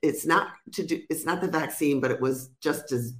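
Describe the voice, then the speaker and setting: A woman's voice: low voice, moderate pace. A woman from Rhode Island with a Rhode Island accent, speaking as a guest minister and giving an earnest testimony. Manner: earnest, declarative, repetitive phrasing. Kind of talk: testimony on a call-in interview.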